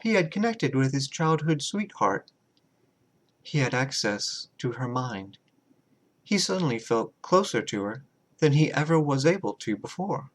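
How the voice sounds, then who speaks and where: A man reading his own horror short story as a scripted, dramatic narration, deliberate and measured, with pauses. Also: medium pitch, big pitch swings.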